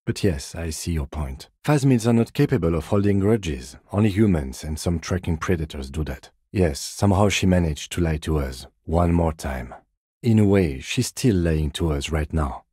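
An actor playing a composed, dry-witted, by-the-book middle-aged police detective in a video game, speaking in a measured, precise, deadpan way. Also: male voice, sits low, husky, no echo. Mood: calm, matter-of-fact. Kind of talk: video game character dialogue.

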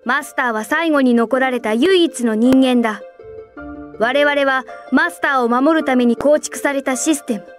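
A woman's voice: a little high, moderate pace, few pauses, natural voice. A woman playing a stern, commanding guardian of an ancient system in a video game cutscene, speaking formally and with authority. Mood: stern, authoritative. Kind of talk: dramatic game cutscene acting. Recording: echoey reverberant hall, distant, faint music.

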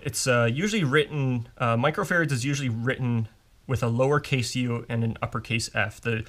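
A man's voice: mid-range voice, a little fast, fairly bright tone. A man giving a casual, step-by-step technical demonstration as an instructor at a workbench. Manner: informal, explanatory, step-by-step. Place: indoors at a workbench.

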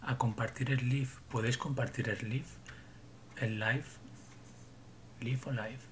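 A man's voice: mid-range voice, takes it slowly, slight background noise. Man chatting casually on a livestream. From Barcelona. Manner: relaxed, rambling, trails off into muttering to himself. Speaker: livestream host. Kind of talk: casual livestream chat with viewers. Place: small home room indoors.

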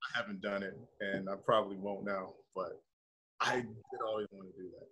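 An American man in his thirties chatting casually on a video call, telling stories in a slangy, rambling, animated way. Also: on the low side, moderate pace, breathy edge. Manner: animated, slangy, rambling with frequent "you know" and "like". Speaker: actor being interviewed. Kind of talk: casual chat, storytelling. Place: indoor room, video call.

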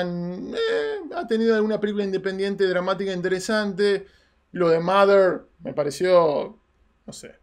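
A man hosting film news in a small studio, talking animatedly in casual commentary. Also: high-pitched. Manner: animated, gestures a lot while talking. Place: small studio with red curtain backdrop.

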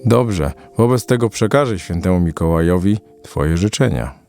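A man playing a kindly, thoughtful old man in a children's audio play, speaking deliberately with a gravelly voice. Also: low voice, lively intonation, a little mellow. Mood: kindly, measured. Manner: slow, deliberate, musing. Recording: music under the voice.

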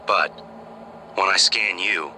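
An actor playing a calm, analytical AI operator in a video game, speaking in a measured, matter-of-fact way. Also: male voice, low-pitched, noisy background. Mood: calm, clinical. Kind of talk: video game voice acting, radio briefing.

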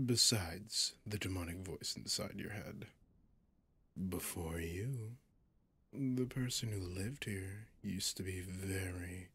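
A man playing a possessive, mocking male demon in an ASMR roleplay, seductive and sinister, with a breathy, often whispering, teasing drawl. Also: deep voice, dry recording, heavily acted. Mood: teasing menace.

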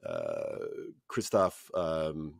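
A man's voice: a bass voice, huge pitch swings, drawn-out words, creaky, no echo, clean studio sound. An older man hosting a podcast interview from a hotel room over a video call, chatty with rambling asides. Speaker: podcast host. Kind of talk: conversational interview. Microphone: headset mic on video call.

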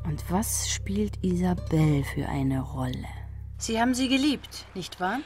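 Probing investigator over music. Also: female voice, fairly low pitch, sing-song, measured pace, a little mellow. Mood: questioning. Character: probing female investigator, sober and persistent. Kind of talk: German crime radio drama acting.

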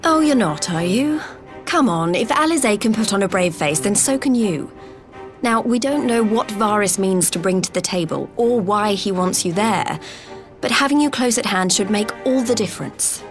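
An actress playing a determined, spirited young resistance leader in a video game, direct and earnest. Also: female voice, mid-range voice, animated, normal speed, over music, lightly acted. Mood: encouraging.

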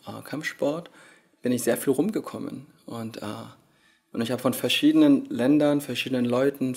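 A man in his thirties, Korean-born, who speaks with many pauses and fillers as a yoga teacher answering interview questions, recorded indoors on a handheld recorder. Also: medium pitch, darkish tone. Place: hotel lounge at a conference, indoors. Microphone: handheld recorder held by the interviewer.

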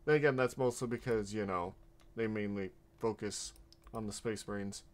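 A man's voice: on the low side, steady tone, moderate pace. A man casually commenting on a gameplay video, rambling and stumbling over his words as he corrects himself. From half Mexican. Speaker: vtuber reacting to a gameplay video.

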